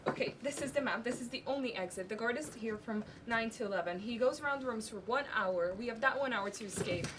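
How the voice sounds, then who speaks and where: High-voiced defensive nurse played by teen girl, noisy recording. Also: quick pace, a bit bright, close to an everyday voice. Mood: urgent, resolute. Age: teenager. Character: defensive female nurse secretly plotting an escape. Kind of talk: amateur stage play acting. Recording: noisy live stage recording with clicks.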